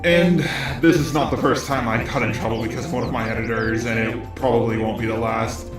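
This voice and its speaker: A man's synthetic text-to-speech robot voice reading scripted rebuttal commentary as the host of a video. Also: fairly high pitch. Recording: roomy echo, music behind. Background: background music.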